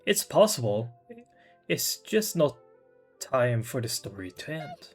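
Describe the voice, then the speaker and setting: A streamer talks casually through a game, reacting and reading dialogue choices aloud. The voice sits at a middle pitch, with faint game music behind. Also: sing-song, slow pace.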